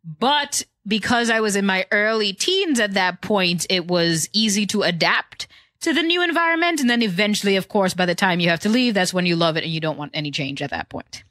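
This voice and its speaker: A woman's voice: mid-range voice, expressive, a little fast, a bit bright, no echo, studio quality. A woman in her thirties with a hybrid Trinidadian-American accent, a guest answering podcast interview questions in composed, precise phrasing. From born in Houston, raised in Trinidad. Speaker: guest, tech founder.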